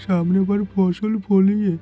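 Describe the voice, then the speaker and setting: A man voicing a poor, desperate village farmer in a cartoon, pleading and haltingly repeating his words. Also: sits high, level tone, muffled recording, soft background music.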